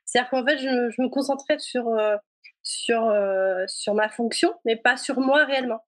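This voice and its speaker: A woman's voice: on the high side, normal speed, dry recording. A woman in her forties answering interview questions hesitantly, with many fillers and frequent laughs. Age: 40s. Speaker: guest, a reader of the book.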